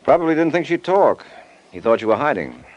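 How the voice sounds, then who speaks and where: An actor playing a suave, wisecracking private detective in an old radio drama, dry and nonchalant. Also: male voice, a little high, big pitch swings, a little fast, highs cut off. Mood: teasing, dry.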